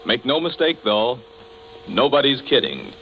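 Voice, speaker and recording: A man's voice: mid-range voice, big pitch swings, a little slow, vocal fry, a little hiss or hum. A man reading a dramatic, scripted introduction in 1940s radio style. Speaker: radio announcer. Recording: muffled 1940s radio recording, loud music.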